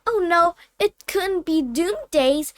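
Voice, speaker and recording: Storybook little girl, played by a woman: very high, eager, earnest, prone to cheerful rambling. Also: lively intonation, slow pace, no echo, clean studio sound, exaggerated voice. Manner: eager, rambling, self-correcting. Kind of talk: storybook character voice.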